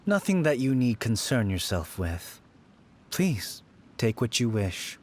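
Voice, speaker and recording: Slow-talking, mysterious shrine dweller. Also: male voice, medium pitch, big pitch swings. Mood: gracious, resigned. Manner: musing, formal, theatrical. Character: mysterious, wry, philosophical male shrine dweller. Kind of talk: video game character acting. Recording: game music behind the voice.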